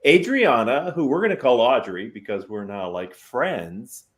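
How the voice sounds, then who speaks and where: A man's voice: medium pitch, lively intonation, measured pace. An older man hosting a business talk show over a video call, jovial and showman-like.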